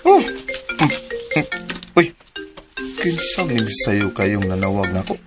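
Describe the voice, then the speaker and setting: A man's voice: lowish voice, big pitch swings, takes it slowly. Casual, evasive young man over music. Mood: sleepy, casual. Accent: Cebuano. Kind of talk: radio drama acting.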